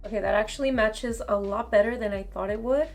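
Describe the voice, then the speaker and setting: A young woman with a slightly raspy voice, chatting casually as she tries on and reviews makeup at home. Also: mid-range voice, fairly quick, faint music behind. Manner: chatty, casual commentary while applying makeup. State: raspy voice from talking too much. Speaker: beauty vlogger. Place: home beauty room, indoors.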